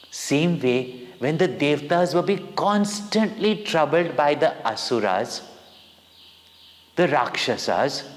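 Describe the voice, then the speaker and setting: Man, children's myth storyteller, deliberate and unhurried, very expressive intonation, recorded with long reverb. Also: a little high. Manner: deliberate, dramatic pauses, questions to listeners. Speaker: spiritual teacher and children's storyteller.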